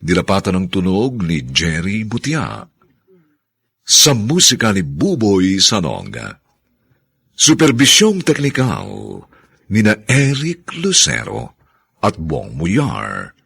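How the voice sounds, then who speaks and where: A man's voice: low-pitched, huge pitch swings, slow pace, vocal fry, pauses often, fairly bright tone, husky. A man announcing a radio drama in a formal, dramatic, scripted narrating style.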